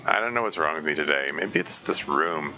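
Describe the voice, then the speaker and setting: A man's voice: rumbling low, animated, brisk, bright, forceful tone, highs cut off, slight background noise, pitched below the performer's own voice, exaggerated voice. A man voicing a small, hot-tempered teenage boy who snaps and swears, in a game read-through. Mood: apologetic. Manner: snapping, profane. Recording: game music behind.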